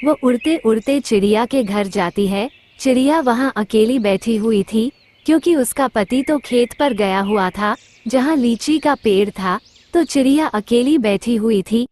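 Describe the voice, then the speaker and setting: A woman's voice: a little high, measured pace, darkish tone. A woman narrating a simple children's cartoon story.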